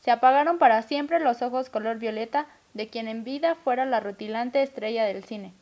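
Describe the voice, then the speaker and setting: Woman playing bossy, grumbling older Mexican woman, high voice. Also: moderate pace, a little mellow, muffled recording, natural voice. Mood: impatient. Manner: irritable, sarcastic, blunt. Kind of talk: radio drama acting.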